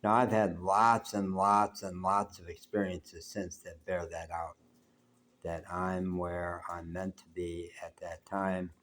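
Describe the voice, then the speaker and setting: Deep-voiced older man musing aloud. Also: level tone, takes it slowly, slight background noise. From United States. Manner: loud, big-voiced, rambling and reflective. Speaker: vlogger. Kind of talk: reflective solo talk. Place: apartment.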